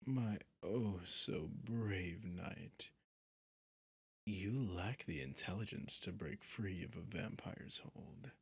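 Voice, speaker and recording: A man playing a sadistic, centuries-old vampire prince in an ASMR roleplay, slow and menacing, often dropping into a whisper. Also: no room sound, heavily acted. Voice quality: low, husky, gravelly.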